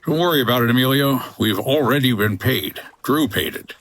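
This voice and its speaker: An actor playing a wry 1950s hotel owner and boat skipper in a noir comedy audio drama, dry and terse. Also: male voice, medium pitch, fairly quick, fairly bright tone, lightly acted. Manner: dry, hard-boiled, terse.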